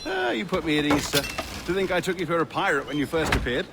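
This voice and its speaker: An actor playing a timid, genteel gentleman in a video game cutscene, speaking in a polite, flowery, nervously hesitant way. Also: on the high side, expressive, fast talker, heavy background noise. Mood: relieved, chatty. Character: timid, genteel, grateful gentleman merchant, easily flustered.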